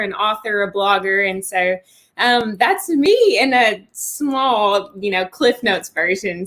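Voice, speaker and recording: Woman, Texas accent, upbeat guest, laughs easily, bright tense voice, a bit high. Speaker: podcast guest. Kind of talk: casual interview chat.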